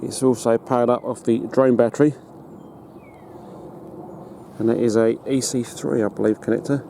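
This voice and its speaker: A British man giving a casual hands-on demonstration as a hobbyist, in a halting, matter-of-fact way. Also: medium pitch, fairly even intonation, vocal fry, dark tone. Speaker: hobbyist presenter. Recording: noticeable background noise.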